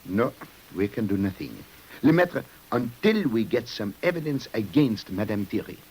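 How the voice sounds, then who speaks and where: An actor playing a grave, commanding French police commissioner in a 1950s radio crime drama. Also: male voice, on the low side, big pitch swings, normal speed, darkish tone, slight background noise, rough recording. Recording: old-time radio broadcast.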